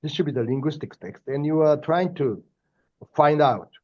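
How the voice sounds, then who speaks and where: A man's voice: a little high, expressive, warm tone, muffled recording. Japanese-accented older man lecturing. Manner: thoughtful, halting, frequent fillers and restarts. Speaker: university professor giving a lecture. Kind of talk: lecture with personal anecdotes.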